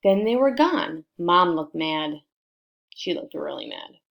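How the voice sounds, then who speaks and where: A woman reading a picture book aloud to children in an expressive way, prompting her listeners with questions. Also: fairly low pitch, takes it slowly, creaky, muffled recording. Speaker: storybook reader for children.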